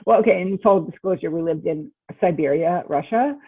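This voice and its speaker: A chatty, emphatic middle-aged American woman talks casually as an interview guest. Her voice is slightly husky and fairly low, and it comes through thin and phone-like, with very little room sound. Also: fairly quick, no room sound. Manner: chatty, emphatic, peppers talk with "right?" and "you know". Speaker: guest novelist. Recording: thin, narrow phone-like call audio.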